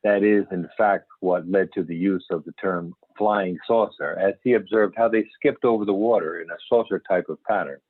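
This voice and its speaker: A man's voice: low voice, moderate pace, few pauses, slightly rough, no echo. A man playing an older, folksy and candid astronomer turned UFO investigator, telling his story in an amateur readers theater over a call. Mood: candid, wry. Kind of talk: amateur readers theater, scripted interview reminiscence. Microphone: phone or videoconference call. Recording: band-limited phone-like conference call audio.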